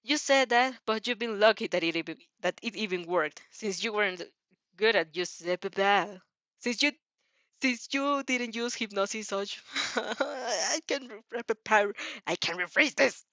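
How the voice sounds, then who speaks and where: A woman's voice: medium pitch, measured pace, fairly bright tone, no room sound. A woman narrating fan fiction dramatically, often stumbling and rephrasing her lines as she reads. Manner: repeats and rephrases phrases.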